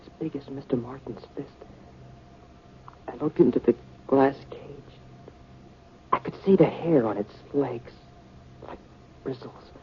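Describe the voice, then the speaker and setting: A performer playing a frightened boy in a 1950s radio drama, breathless, hesitant and excitable. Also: mid-range voice, a little slow, long pauses, lightly acted. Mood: morbid fascination. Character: frightened boy Bill trapped with giant insects. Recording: muffled, noisy vintage radio recording.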